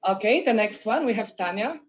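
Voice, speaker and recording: A woman from Poland moderating an online panel, speaking haltingly and searching for words. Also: mid-range voice, expressive, highs cut off.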